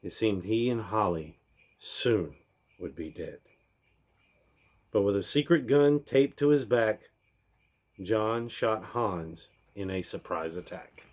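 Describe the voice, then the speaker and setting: A man's voice: lowish voice, lively intonation, slow, long pauses, a little mellow. Theatrical man reading a rhyming storybook. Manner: theatrical, emphatic, swelling and dropping at line ends. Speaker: reader of a parody Christmas storybook. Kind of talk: dramatic rhyming storybook read-aloud.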